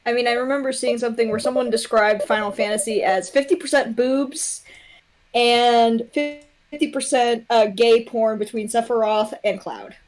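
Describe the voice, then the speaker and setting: High-pitched blunt young woman chatting. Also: steady tone, moderate pace. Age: young adult. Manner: blunt, profane. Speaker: guest on a development livestream. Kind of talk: casual chat.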